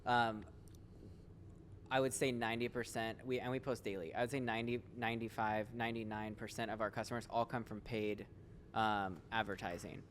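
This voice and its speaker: A man's voice: fairly low pitch, little pitch movement, a little fast, fairly bright tone, slight background noise. A man hosting a business podcast, asking conversational questions and often correcting himself midway. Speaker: podcast host, roofing business owner.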